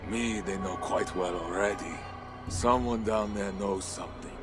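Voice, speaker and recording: An actor playing a stern, contemptuous hardened warrior in a video game, speaking curtly. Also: male voice, sits low, steady tone, unhurried. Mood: impatient. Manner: curt, disdainful. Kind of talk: video game character acting. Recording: music behind the voice.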